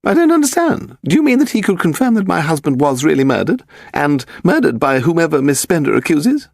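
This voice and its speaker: A man's voice: fairly high pitch, very animated, a little fast, gravelly, dry recording, clean studio sound, pitched above the performer's own voice, exaggerated voice. A man voicing a haughty, pedantic English aristocrat, a frail lady in her eighties, in an upper-class accent for an audiobook story. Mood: indignant, rambling. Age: 80s. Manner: pedantic, grand, derisive, demanding. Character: imperious frail aristocratic old lady of eighty-five, malicious.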